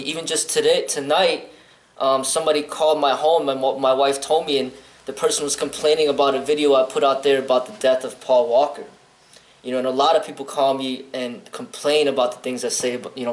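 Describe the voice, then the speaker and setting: A man's voice: medium pitch, roomy sound, rough recording. A man from Chicago, a martial arts instructor, answering interview questions bluntly and earnestly, rambling with restarts and "um". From Chicago, United States.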